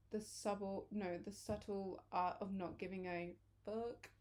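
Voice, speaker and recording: A young woman chats casually to camera. She rambles and corrects herself, and her voice is a little hoarse. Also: medium pitch, a little mellow. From England. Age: early 20s. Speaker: vlogger.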